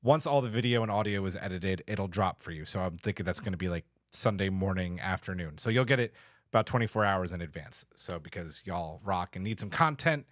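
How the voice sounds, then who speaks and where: A man's voice: low voice, animated, brisk, dry recording, studio quality. A man hosting a podcast in casual chat, with an informal, slangy, mildly profane way of talking. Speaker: podcast host.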